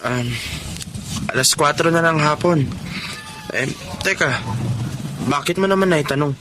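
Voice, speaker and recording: A man's voice: fairly high pitch, unhurried, natural voice. A man playing a devoted, humble young boyfriend, affectionate and reassuring, in a Filipino radio drama. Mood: grateful, touched. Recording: noisy track with clear background ambience.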